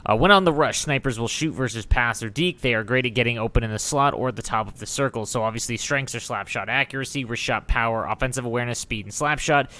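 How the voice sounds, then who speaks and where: A man's voice: mid-range voice, fast, few pauses, pushed and bright. A man hosting a gaming video, giving casual, enthusiastic commentary in an informal way. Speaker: gaming channel host.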